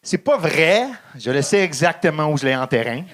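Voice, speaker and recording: Anglophone man with Quebec accent, halting. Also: a little high, heavy background noise. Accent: English-speaking Quebecer speaking French. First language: English. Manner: halting with many ums, deadpan dark jokes. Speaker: stand-up comedian. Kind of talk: stand-up set.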